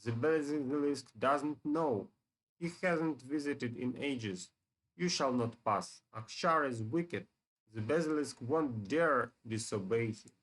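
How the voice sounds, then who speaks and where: A man's voice: mid-range voice, slow pace, poor audio. Man reading as a stubborn basilisk guard. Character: gruff, obedient giant basilisk guarding a canyon.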